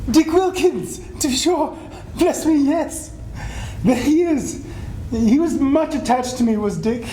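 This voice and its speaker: Stage reading: woman as sentimental old male miser, high but mellow, in a roomy space. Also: unhurried. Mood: fond, excited. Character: elderly male miser, overjoyed and nostalgic at his past. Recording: roomy hall recording, faint noise, rumble.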